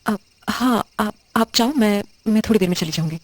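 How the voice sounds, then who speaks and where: A woman playing a confused, uneasy young widow who talks haltingly in an audio horror drama. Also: medium pitch, no room sound, close to an everyday voice. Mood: flustered. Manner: hesitant, halting, muttering questions to herself.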